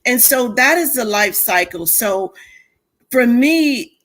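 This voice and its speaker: A woman's voice: a little high, expressive, slow pace, a bit bright. Matter-of-fact woman with New York accent. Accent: New York with a bit of South Jersey. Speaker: guest author and coach. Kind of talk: interview conversation.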